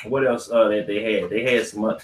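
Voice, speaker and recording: A man's voice: on the low side, fairly even intonation, fairly quick. Echoey American man, slangy podcast host. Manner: slangy, profane, ranting. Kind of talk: casual opinionated podcast talk. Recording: echoey untreated room.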